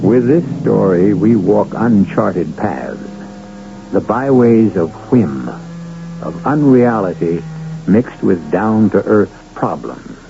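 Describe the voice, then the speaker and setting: A man's voice: fairly low pitch, lively intonation, slow, gravelly. A man hosting a radio drama, reading scripted narration in a portentous, musing manner. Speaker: host narrator. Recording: dull radio broadcast over music.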